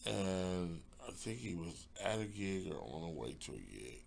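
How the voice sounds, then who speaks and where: Man, middle-aged, low pitch, gravelly, very slow, halting casual chat. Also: sing-song, a little mellow, slight background noise. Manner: halting, joking, trailing off. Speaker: comedian guest.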